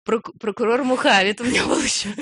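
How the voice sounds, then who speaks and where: Woman, young adult radio presenter, loose on-air chat, quick laughs, halting speech. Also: fairly high pitch, fairly even intonation, fairly bright tone. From Novosibirsk, Russia. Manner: laughs often, self-deprecating, halting with fillers.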